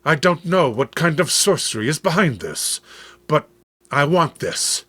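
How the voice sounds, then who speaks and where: A man's voice: on the high side, big pitch swings, unhurried, sharp tone, husky, no echo, full character voice. A man playing an obsessive, lovestruck musician in a horror comic, melodramatic and brooding. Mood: yearning. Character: Eric, obsessive lovestruck male musician, anguished.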